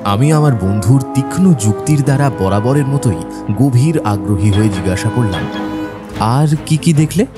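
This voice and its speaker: A man playing an earnest, admiring doctor who narrates an audio drama in a measured storytelling way. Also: medium pitch, expressive, lightly acted. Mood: measured, attentive. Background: faint background music.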